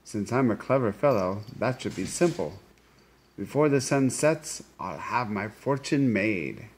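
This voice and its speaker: A man's voice: on the low side, animated, unhurried, a little hiss or hum. A man voicing a clever, eager young farm lad, bold and pleased with himself, in a storybook reading. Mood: scheming.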